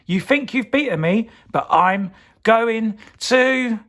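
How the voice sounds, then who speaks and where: A man's voice: extremely high pitch, lively intonation, takes it slowly, fairly bright tone, pitched above the performer's own voice, heavily acted. A man voicing a scheming, gloating evil pea in a children's storybook, with a theatrical, screeching manner. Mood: outraged.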